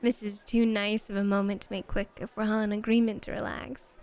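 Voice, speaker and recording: A woman's voice: medium pitch, flat delivery, fairly quick, a little mellow, natural voice. A woman playing a flirty, teasing, self-assured club hostess in an ASMR roleplay. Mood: relaxed, gracious.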